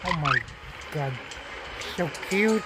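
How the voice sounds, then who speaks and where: A woman game streamer reacting casually in short, jokey exclamations. Her intonation is animated and her pace slow, with clear noise from the stream behind her. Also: on the low side. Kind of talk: casual live gaming reactions.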